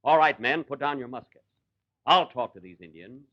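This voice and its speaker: A man's voice: mid-range voice, lively intonation, normal speed, highs cut off, lightly acted. A man playing a fussy, exasperated Ranger major in a 1940s radio comedy, mock-commanding and put-upon. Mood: weary. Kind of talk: 1940s radio comedy acting before live audience. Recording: 1940 live radio broadcast.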